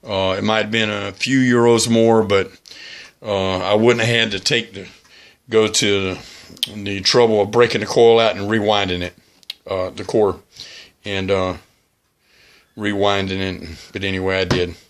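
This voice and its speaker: A man giving a casual hands-on demonstration as a radio hobbyist, talking in a halting, plain-spoken, cautionary way. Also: lowish voice, level tone. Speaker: amateur radio hobbyist presenting a how-to video.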